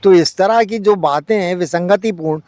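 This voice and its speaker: A man's voice: high-pitched, moderate pace, darkish tone, no echo. A man giving impassioned interview answers as a monk, emphatic, with repetition and rhetorical questions. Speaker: Buddhist monk being interviewed. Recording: muffled audio with clear vehicle noise.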